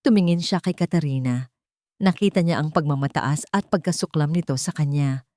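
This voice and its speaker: Filipina lady reading a dramatic story, lowish voice, really dry sound. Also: normal speed, dark tone, no room sound. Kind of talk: scripted dramatic story narration.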